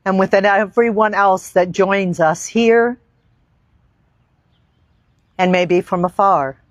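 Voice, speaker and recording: Slow-paced woman guiding a meditation. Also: medium pitch, fairly even intonation, no room sound, clean studio sound. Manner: slow, deliberate, reverent phrasing with long pauses. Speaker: yoga teacher. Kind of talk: guided meditation and yoga instruction. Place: outdoor park.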